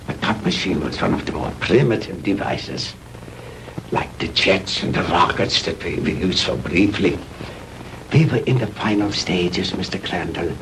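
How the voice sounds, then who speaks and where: An actor playing a grandiose older Nazi scientist in a 1960s B-movie, lecturing pompously and deliberately. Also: male voice, fairly low pitch, creaky, rough recording. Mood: boastful, wistful. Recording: hissy old 1960s film soundtrack.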